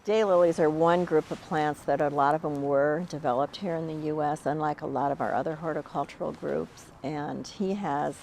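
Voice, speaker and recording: Low-voiced woman interviewed outdoors. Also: fairly even intonation, moderate pace, few pauses, a little mellow, slight background noise. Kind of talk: unscripted interview.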